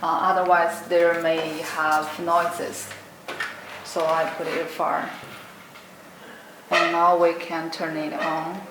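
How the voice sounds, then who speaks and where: A young woman giving an unscripted product demonstration in short, simple, halting phrases. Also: on the low side, flat delivery. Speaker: product demonstrator for an electronics brand. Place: indoor room at a table with green backdrop. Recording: roomy echo, clearly noisy background.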